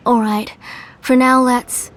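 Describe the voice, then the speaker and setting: A woman playing a gentle, doting middle-aged mother and auntie in a video game, speaking in a warm, reminiscing manner. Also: a little high, level tone, slow pace, a little hiss or hum. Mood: fond. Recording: music playing faintly behind.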